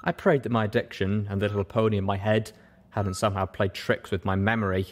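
A man's voice: fairly low pitch, steady tone, a little fast. A man reading first-person fiction aloud as a scripted audiobook narration. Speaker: audiobook reader.

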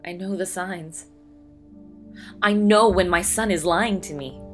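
A woman's voice: on the low side, a little slow, natural voice. An actress playing a steely, confrontational mother and reporter in an amateur audio drama. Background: clear background music.

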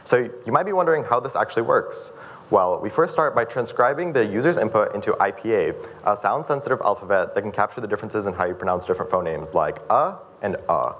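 A young man from Kyrgyzstan runs a product demo on a stage. His voice is low and he talks quickly, briskly explaining each step to the room. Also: animated, a bit bright. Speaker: researcher presenting a demo. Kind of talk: scripted demo presentation. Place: stage in a mid-sized event room.

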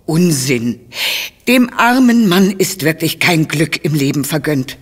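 An actress playing a kindly, doting, gossipy old cook in a radio play. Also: female voice, sits low, expressive, unhurried, husky, full character voice. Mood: sorrowful, defensive. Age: older. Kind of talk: German radio play acting.

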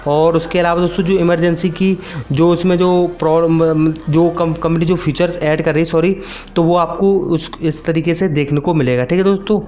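A man's voice: fairly high pitch, steady tone, normal speed, darkish tone. A young man giving a casual gaming update voice-over, repeating himself and addressing viewers as friends. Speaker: YouTube gaming channel host. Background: background music.

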